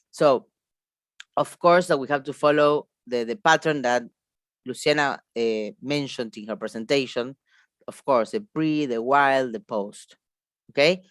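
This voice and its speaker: A woman's voice: low voice, no room sound, studio quality. A woman with an Argentine Spanish accent presenting a teacher-training seminar, speaking spontaneously and emphatically, repeating phrases and checking in with short questions. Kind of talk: online seminar lecture, spontaneous.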